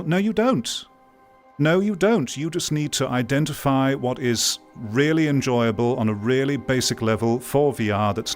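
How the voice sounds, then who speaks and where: A man hosting a VR gaming stream, talking to his viewers in an earnest, emphatic way and repeating phrases for stress. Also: mid-range voice. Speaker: VR games streamer and video host. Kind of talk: live game commentary talking to viewers.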